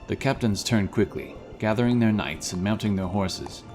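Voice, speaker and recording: Male storyteller, deep voice, dramatic fantasy audiobook read over background score. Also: moderate pace, a little mellow, faint music behind. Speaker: narrator. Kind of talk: dramatic scripted fantasy narration.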